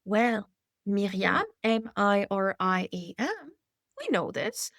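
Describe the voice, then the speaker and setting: A woman's voice: medium pitch, takes it slowly, no room sound, clean studio sound. A woman from Hawaii, an expert guest in an interview, telling her points emphatically and with animation. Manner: animated, emphatic storyteller who laughs between points. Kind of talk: conversational interview.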